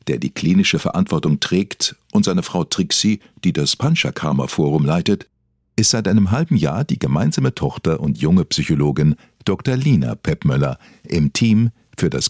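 A man with a husky voice reading scripted documentary narration in a formal, deadpan manner. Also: a bass voice, moderate pace, dry recording, studio quality.

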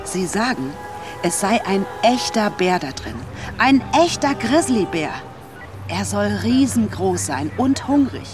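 A brash, chatty woman working in fast food. Her delivery is animated and slangy, with lively swings in pitch. It is a naturalistic radio drama scene, with music playing underneath in an urban outdoor setting. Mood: excited gossip. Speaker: actress in a radio play.

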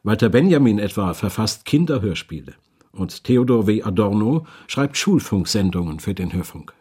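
A man's voice: on the low side, very animated, normal speed, warm tone, slightly rough. A man reading scripted narration for a radio documentary as its narrator.

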